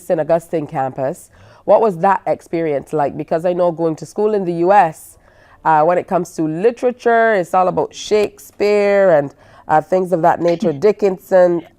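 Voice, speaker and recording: A woman's voice: on the low side, dark tone. A woman hosting a morning TV show, asking a guest chatty questions with plenty of filler words. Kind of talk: interviewing a guest.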